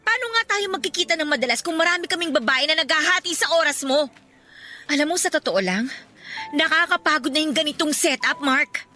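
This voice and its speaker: A woman's voice: high-pitched, expressive, brisk, sharp tone, faint music behind. A woman playing a fed-up, jealous young girlfriend in a Tagalog radio drama, speaking in an accusing, sarcastic, emphatic way. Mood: weary, resolute. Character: fed-up, jealous young woman confronting her secret boyfriend.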